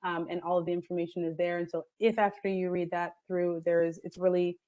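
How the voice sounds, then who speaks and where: Flat, low-voiced woman from admissions. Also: dry recording, highs cut off. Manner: steady, helpful, slightly halting. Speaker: admissions staff. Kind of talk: informative Q&A on a video call. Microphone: video call.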